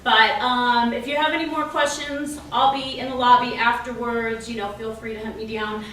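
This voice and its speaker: A woman's voice: a little high, fairly even intonation, moderate pace, a bit bright. A woman drama instructor and director giving an informal spoken introduction to an audience. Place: indoor venue with lobby, speaking to audience. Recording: very echoey room, distant mic, faint noise.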